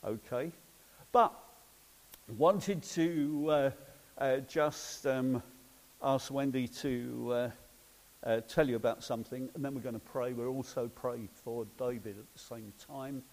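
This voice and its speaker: A man in an informal sermon as pastor, chatty and halting with many ums and uhs. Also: mid-range voice, steady tone. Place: church hall. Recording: long hall reverb.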